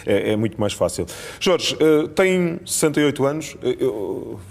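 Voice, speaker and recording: A man interviews in European Portuguese, putting his questions conversationally. His voice sits at a medium pitch. The recording carries a long, hall-like reverb around his words. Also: animated, normal speed, gravelly. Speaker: sports interviewer.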